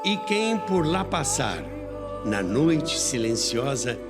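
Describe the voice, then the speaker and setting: A man's voice: medium pitch, big pitch swings, takes it slowly. A Brazilian man hosting and narrating a scripted history documentary in a dramatic, nostalgic storytelling manner. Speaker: podcast host and narrator. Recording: long reverb, faint music behind.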